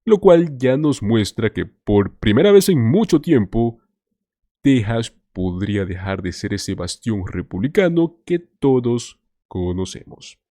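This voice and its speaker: A man's voice: medium pitch, big pitch swings, a little slow, dark tone, no room sound, clean studio sound. A man hosting a political commentary show, talking to viewers in an emphatic, scripted way. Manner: emphatic, addresses viewers as "señores".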